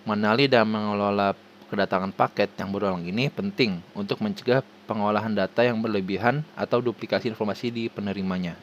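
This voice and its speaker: Indonesian guy reading out a formal presentation, kinda low voice, trips on words and restarts, bit of background noise. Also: fairly even intonation, lo-fi sound. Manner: formal, halting, stumbles over words and restarts them. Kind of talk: scripted presentation read aloud.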